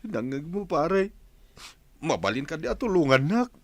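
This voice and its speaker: An actor playing an excitable, whiny village drinker in a Filipino radio comedy drama. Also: male voice, fairly high pitch, normal speed, dry recording. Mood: lamenting. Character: excitable drinking village husband with a battered house.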